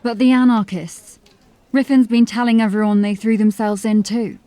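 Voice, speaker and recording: A woman's voice: fairly high pitch, fairly even intonation, moderate pace, dark tone, dry recording, close to an everyday voice. A woman playing a dry, sceptical constable of a fantasy city watch in an audio drama. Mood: puzzled, probing. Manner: questioning, dry.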